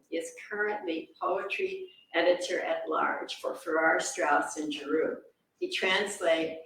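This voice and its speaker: Creaky-voiced woman in a very echoey room. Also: lowish voice, fairly bright tone. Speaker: event host introducing speakers. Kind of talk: introduction read aloud with informal asides. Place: reverberant indoor event room. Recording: echoey room, distant mic, faint noise.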